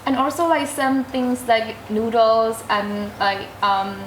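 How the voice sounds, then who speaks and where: A young woman, a student guest answering interview questions hesitantly, filling pauses with "like" and "um". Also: fairly high pitch, fairly bright tone, slight background noise. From Myanmar. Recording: roomy echo.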